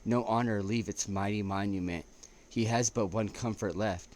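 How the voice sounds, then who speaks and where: Male amateur poetry reader, fairly low register, stumbling recitation, slightly noisy home-grade audio. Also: steady tone, a little mellow. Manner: halting, stumbles over lines and restarts. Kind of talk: poetry recitation with casual asides. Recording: noticeable background noise.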